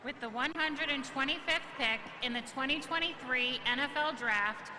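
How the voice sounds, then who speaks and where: A woman's voice: high voice, moderate pace. Female stage announcer, formal delivery, reverberant big hall, noticeable background noise. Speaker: draft pick announcer. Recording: echoing hall, distant feed, clear noise.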